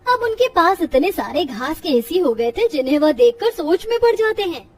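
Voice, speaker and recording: A woman's voice: extremely high pitch, sing-song, normal speed, distant mic. A woman narrator reading a scripted cartoon story.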